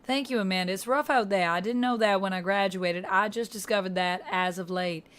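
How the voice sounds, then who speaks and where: A woman's voice: medium pitch, brisk, fairly bright tone. A woman hosting a livestream, chatting casually with viewers in a put-on Georgia-style Southern US accent. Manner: chatty, addresses viewers by name. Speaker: livestream host.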